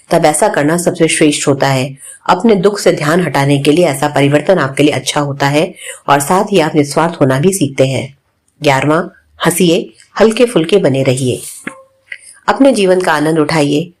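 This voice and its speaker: Low-pitched woman reading aloud. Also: a little mellow. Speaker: audiobook reader. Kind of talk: reading a spiritual self-help book aloud.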